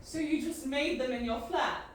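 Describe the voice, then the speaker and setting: A high-pitched young woman acted by a woman in an audio drama, an anguished clone convinced of her own humanity. The recording is heavily reverberant, with the voice set back from the mic and clear noise underneath. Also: moderate pace, natural voice. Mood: hurt, questioning. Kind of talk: audio drama acting and first-person narration. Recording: very echoey, distant mic, noisy.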